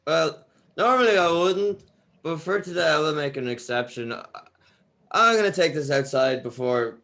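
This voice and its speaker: A man's voice: fairly high pitch, expressive, moderate pace, gravelly, bright, forceful tone, heavily acted. A man playing a polite, hesitant musician in an amateur script read-through. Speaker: table read participant.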